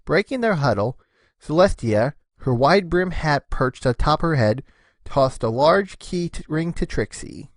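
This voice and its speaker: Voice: mid-range voice, lively intonation, measured pace, no echo. A narrator reading a scripted story between the characters' lines.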